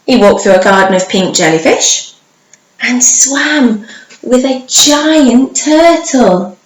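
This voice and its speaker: A woman reading a children's story aloud like a primary teacher, with dramatic pauses and drawn-out words for young listeners. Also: fairly high pitch, expressive. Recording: echoey room, faint background noise.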